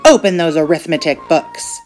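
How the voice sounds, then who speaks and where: A woman's voice: sits low, normal speed, darkish tone, faint music behind, close to an everyday voice. A woman voicing a stern, strict female substitute teacher who snaps curt commands in a storybook reading.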